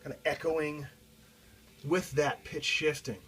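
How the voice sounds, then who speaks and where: A man's voice: fairly high pitch, level tone, slow pace, pushed and bright. A man talking informally through a guitar effects pedal demo, explaining casually and hands-on as he goes. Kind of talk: informal gear demo talk.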